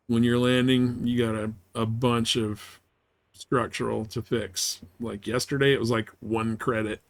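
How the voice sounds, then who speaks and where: A man live-streams a game with casual, offhand commentary. His voice sits at an average pitch as he thinks aloud and drops muttered asides to his chat.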